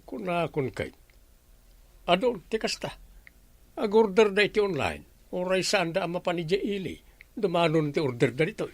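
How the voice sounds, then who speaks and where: A man's voice: high-pitched, very animated, moderate pace, long pauses, no room sound, heavily acted. A man with an Ilocano accent playing a homely, somewhat hesitant village captain in a radio comedy drama. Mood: musing.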